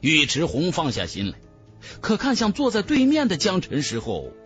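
Very animated male audiobook narrator. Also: fairly high pitch, normal speed. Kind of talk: dramatic scripted narration of a fantasy novel. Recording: music under the voice.

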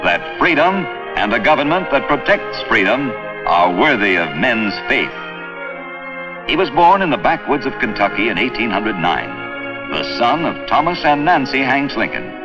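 A man's voice: mid-range voice, big pitch swings, moderate pace. A man narrating a scripted 1950s educational film. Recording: muffled old 16mm film soundtrack. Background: music.

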